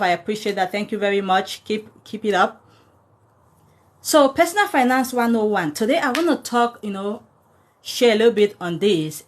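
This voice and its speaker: A woman from Cameroon in her thirties, chatting casually to viewers about money and thanking them by name as she goes. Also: medium pitch. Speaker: personal finance content creator. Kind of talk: casual livestream talk to viewers.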